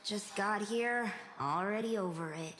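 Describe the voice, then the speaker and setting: An actress playing a small, gloomy teenage goth creature from a video game, sarcastic and apathetic in a deadpan drawl. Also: female voice, mid-range voice, a little slow, big-room sound, crowd noise, lightly acted. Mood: bored, sullen. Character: gloomy, sulky teenage goth yordle girl, small, misanthropic. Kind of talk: video game character voice lines.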